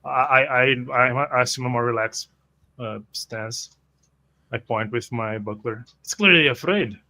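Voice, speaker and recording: Bright-voiced man roleplaying, reasoning aloud. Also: mid-range voice, a little slow. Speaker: tabletop roleplay player. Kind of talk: in-character roleplay mixed with describing actions.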